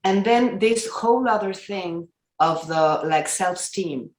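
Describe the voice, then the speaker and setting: Halting woman. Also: mid-range voice, reverberant. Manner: searching for words, halting. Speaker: therapist asking a question. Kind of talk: audience question on a video call.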